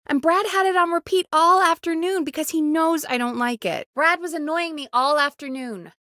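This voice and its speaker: A woman playing an earnest, wry radio show producer in a video game, speaking in an expressive, conversational way that is supportive but easily exasperated. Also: sits high, moderate pace, dry recording, studio quality. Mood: sheepish, annoyed. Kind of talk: naturalistic video game dialogue.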